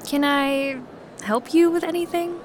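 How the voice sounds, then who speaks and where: Actress doing a helpful careful lady appraiser, audio drama, bit high pitched, chatty delivery, slight ambience behind her. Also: a little slow, a little hiss or hum. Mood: friendly. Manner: helpful, precise. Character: friendly, meticulous woman appraising coins at a show booth. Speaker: coin appraiser. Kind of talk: conversational audio drama acting.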